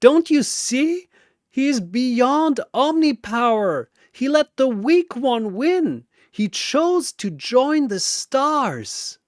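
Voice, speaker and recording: A man's voice: big pitch swings, slow pace, dry recording, studio quality, exaggerated voice. A man voicing an awestruck, fervent spectator in the crowd of a fantasy battle story. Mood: awed, fervent. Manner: fervent, high-pitched. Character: awestruck believer shouting from the arena crowd.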